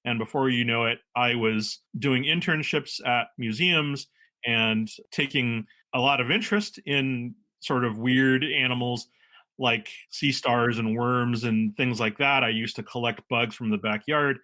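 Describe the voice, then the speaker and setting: A middle-aged man from California, a marine biologist guest speaking in a measured, explanatory way with frequent pauses and fillers. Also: medium pitch, pushed and bright. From San Francisco, California. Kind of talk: relaxed interview talk.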